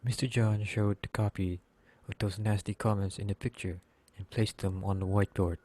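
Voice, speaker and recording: Low, mellow male narrator, reading haltingly. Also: fairly even intonation, no room sound. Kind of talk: scripted narration, read aloud.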